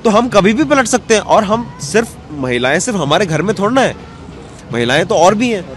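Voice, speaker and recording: A man's voice: high voice, very animated, brisk, faint music behind, a faint crowd. A man in his thirties answering conversationally as an interview guest outdoors, mixing in English words. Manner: mixes English phrases into his speech. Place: outdoor bench in an open courtyard. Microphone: handheld microphone held out by interviewer. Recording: faint outdoor urban noise.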